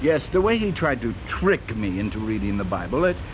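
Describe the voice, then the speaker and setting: A man's voice: mid-range voice, big pitch swings, brisk, noisy background, lightly acted. A man acting in a radio drama as a skeptical, haughty British naval captain, pompous and hesitant when flustered. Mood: irritated, haughty.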